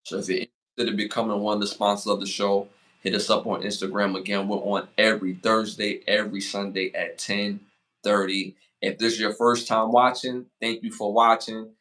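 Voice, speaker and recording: A man in his forties hosting a casual podcast, giving motivational advice and shout-outs, repeating phrases for emphasis. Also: lowish voice, flat delivery. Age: 40s. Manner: hypes the chat with rapid shout-outs. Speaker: podcast host.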